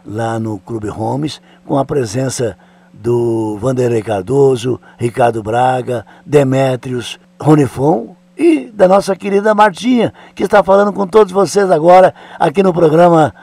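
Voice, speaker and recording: An older man hosting a radio show, chatting in a lively way and prompting his guest. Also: mid-range voice, a little slow, creaky. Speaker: radio host. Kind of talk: radio interview.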